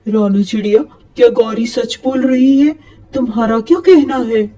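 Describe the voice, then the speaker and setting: A woman voicing a male owl king who judges the birds in a moral-story cartoon, measured and commanding. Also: fairly high pitch, mellow, lightly acted. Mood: questioning.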